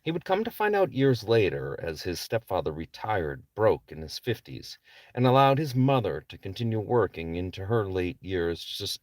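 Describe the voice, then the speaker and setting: A man's voice: on the low side, big pitch swings, moderate pace, a little mellow, no room sound. A man reading his own novel aloud as a scripted audiobook narration. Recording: distant microphone.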